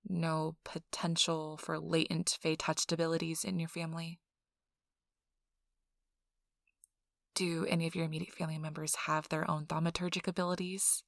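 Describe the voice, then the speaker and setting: A woman playing a friendly, chatty intake interviewer in a soft ASMR role-play, explaining things politely and informally. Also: low voice, level tone, fairly quick, vocal fry, few pauses, dry recording, clean studio sound. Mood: matter-of-fact. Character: friendly, chatty female intake interviewer at a vampire blood donation center.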